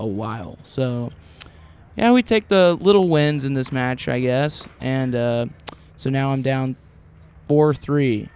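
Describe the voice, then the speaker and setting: A man's voice: mid-range voice, slight background noise. A young man, a junior tennis player, talking casually and offhand about his match. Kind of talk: casual vlog chat.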